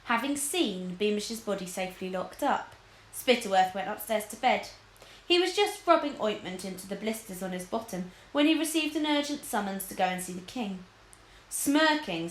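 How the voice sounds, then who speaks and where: A woman reading a children's story aloud as a teacher would, with expressive narration, in an echoey room. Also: mid-range voice, slight background noise.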